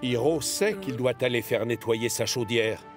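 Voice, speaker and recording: A man narrating a children's cartoon as an expressive storyteller. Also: medium pitch, normal speed, music in the background.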